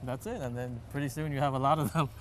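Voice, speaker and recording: A man's voice: mid-range voice, steady tone, fast, fairly bright tone. Male interview guest, thirties, mid-range voice, casual, outdoor traffic noise. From Texas, United States. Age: 30s. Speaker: interview guest, volunteer distributor. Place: outdoors in a residential neighborhood. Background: street ambience and vehicles.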